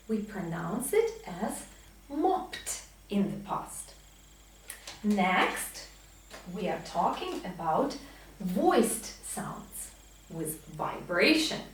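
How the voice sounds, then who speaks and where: A woman in her thirties giving a pronunciation lesson as a teacher, enunciating example words deliberately and emphatically. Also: mid-range voice, slight background noise. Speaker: English teacher. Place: small indoor classroom with whiteboard. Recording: very echoey room, distant microphone.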